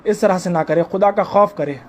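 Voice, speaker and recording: A man's voice: high voice, brisk. A man from India, arguing over the phone in a pleading, reproachful and insistent way. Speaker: caller. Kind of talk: confrontational phone conversation. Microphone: phone call. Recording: noisy recorded phone call.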